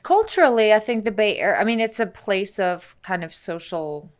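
A woman's voice: medium pitch, animated, moderate pace. A woman whose first language is Icelandic, a guest on a casual podcast, chatty and upbeat. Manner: chatty, upbeat, laughs easily.

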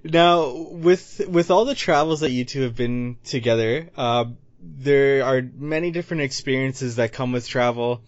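A man's voice: medium pitch, measured pace, dry recording. A man hosting a casual interview, asking questions and dropping short friendly affirmations. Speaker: host. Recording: dry, dull lo-fi recording.

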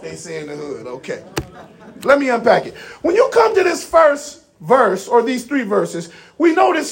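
A man preaching an animated sermon, speaking emphatically with rhetorical repetition and direct appeals to his listeners. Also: very high-pitched, creaky.

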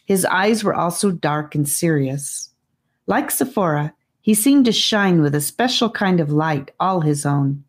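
Slow, expressive woman storyteller. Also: on the low side. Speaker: audiobook narrator. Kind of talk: scripted children's fantasy storybook narration.

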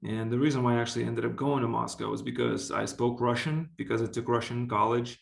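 Guy from lithuania doing a casual interview, bit of vocal fry, earnest, roomy echo. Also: medium pitch, steady tone, fast. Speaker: interview guest, basketball executive. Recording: roomy, echoey sound.

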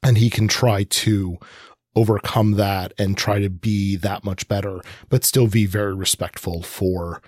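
A man's voice: fairly low pitch, fairly even intonation, a little slow, a little mellow, breathy edge, no echo, studio quality. A man co-hosting a podcast, talking casually about comics and often stopping to qualify what he says. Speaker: podcast co-host. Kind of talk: casual conversational commentary on comics.

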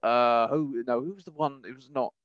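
A man talks casually as a podcast co-host, with a mid-pitched voice. He speaks haltingly and rambles, often rewording as he goes. The sound is dry and a little dull. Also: fairly even intonation, no room sound. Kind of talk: casual gaming news discussion.

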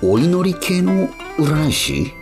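A man's voice: on the high side, sing-song, slow. An actor playing a cynical young male street musician, narrating a radio drama in blunt, slangy asides. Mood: puzzled, wry. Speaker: narrator and lead character. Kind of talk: first-person radio drama monologue. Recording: acoustic guitar music behind.

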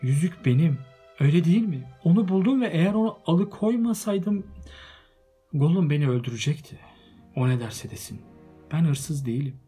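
A man voicing an elderly male hobbit who is possessive and touchy, with shrill outbursts and covetous whispers. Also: expressive, brisk, darkish tone, breathy edge, faint music behind. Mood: shaken.

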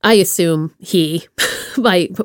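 A woman hosting a podcast in a casual chat, with playful and expressive reactions. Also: fairly low pitch, very slow, dry recording, studio quality. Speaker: podcast host.

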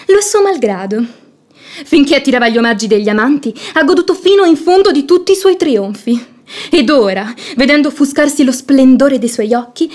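An actress playing a sharp-tongued, outspoken young maidservant in a theatrical radio play, with a sardonic, pointed delivery. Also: female voice, sits high.

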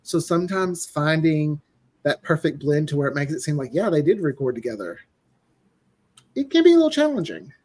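A man with a somewhat high voice speaks as a guest in a relaxed interview. His delivery is conversational and enthusiastic, like a writer explaining creative work. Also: brisk. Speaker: guest, audio drama writer. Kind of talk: casual interview chat.

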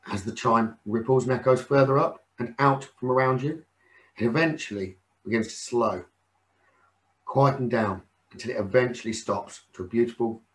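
A man leading a guided meditation in a soothing, unhurried manner with frequent pauses. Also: on the low side, low-quality recording. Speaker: meditation teacher.

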